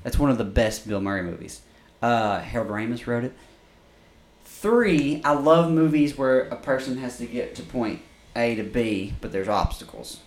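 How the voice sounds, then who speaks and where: A man's voice: mid-range voice, moderate pace, reverberant. A man in his thirties co-hosting a podcast, rambling and swearing casually, laughing often. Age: 30s. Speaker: podcast co-host. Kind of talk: crude casual chat.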